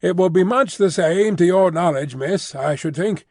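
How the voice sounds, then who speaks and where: A man voicing a gruff, blunt manservant with a hoarse voice. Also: high voice, moderate pace, a little mellow, dry recording, clean studio sound. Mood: grumbling.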